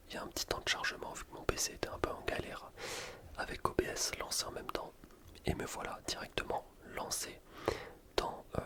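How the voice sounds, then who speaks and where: Whispering man, ASMR gaming commentary. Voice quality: breathy unvoiced whisper. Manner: informal, explanatory. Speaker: gaming video creator. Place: voice-over recorded separately from gameplay.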